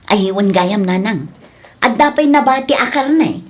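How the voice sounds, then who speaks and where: A woman's voice: mid-range voice, lively intonation, normal speed, natural voice. A woman with an Ilocano accent playing a brazen grown daughter and mother in a radio comedy drama. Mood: wheedling.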